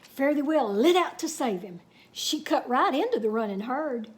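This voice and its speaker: A woman reads a storybook aloud. Her delivery swings widely in pitch, full of folksy drama aimed at young listeners. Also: lively intonation, moderate pace. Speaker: children's story reader. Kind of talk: expressive storybook read-aloud with folksy asides.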